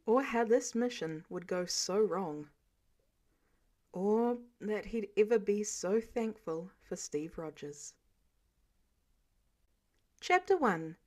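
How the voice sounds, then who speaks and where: A woman reading scripted fiction aloud as an expressive storyteller. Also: mid-range voice, slow pace, long pauses. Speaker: audiobook reader of fan fiction.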